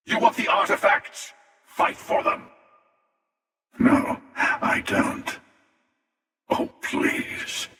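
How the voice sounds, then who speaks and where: Sardonic older villain in a sci-fi game: world-weary rasp, wildly swinging pitch, heavily echoing. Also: male voice, sharp tone, heavily acted. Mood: commanding challenge, then taunting. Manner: slow, deliberate, sardonic, taunting. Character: world-weary, menacing older male villain, immortal spacefaring hunter. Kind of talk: video game character dialogue. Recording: echoey voice with long reverb, distant.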